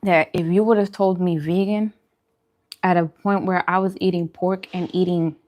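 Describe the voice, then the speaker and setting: A woman's voice: on the low side, steady tone, normal speed, darkish tone. Casual woman chatting over food. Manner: informal, musing, conversational. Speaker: vegan food vlogger. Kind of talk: casual mukbang chat while eating.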